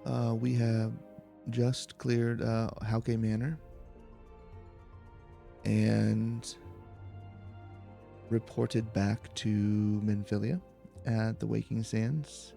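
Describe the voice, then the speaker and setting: A man hosting a relaxed video game let's play, talking in a low-key, halting, casual way over music. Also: gravelly. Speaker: host of a gaming let's play. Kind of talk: relaxed, slow-paced let's play commentary. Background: soft music.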